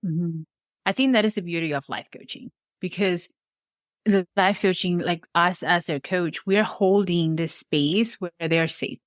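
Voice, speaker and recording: A woman's voice: on the low side, a little slow, no room sound. Earnest Venezuelan-accented woman, dry sound. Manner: earnest, reflective, speaks of faith warmly. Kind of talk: conversational interview.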